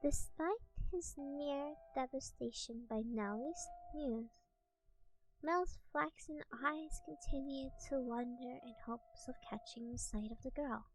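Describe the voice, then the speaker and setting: Female ASMR story reader: high-pitched, soft, slow and deliberate, over light background music. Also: low-quality recording. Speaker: streamer reading a visual novel aloud.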